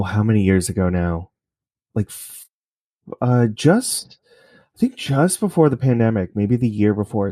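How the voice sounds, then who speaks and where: A man talking casually as an interview guest, halting often as he explains things. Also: fairly low pitch, darkish tone, no room sound, studio quality. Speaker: interview guest, podcast creator.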